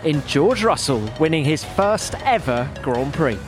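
A man's voice: on the high side, big pitch swings, a little slow. A man hosting a podcast, delivering a presenter's introduction with music behind him. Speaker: podcast host.

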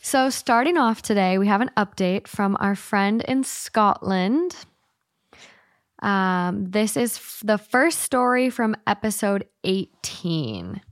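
A woman's voice: mid-range voice, expressive, slow pace, no room sound, clean studio sound. A woman co-hosting a casual podcast and reading out listener letters in an upbeat, matter-of-fact way. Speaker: podcast co-host.

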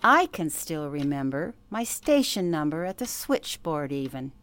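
A woman's voice: deep voice, very animated, unhurried, a bit bright, exaggerated voice. An actress playing an older former switchboard operator who recalls her youth with emphasis, in a radio play interview. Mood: proud recollection.

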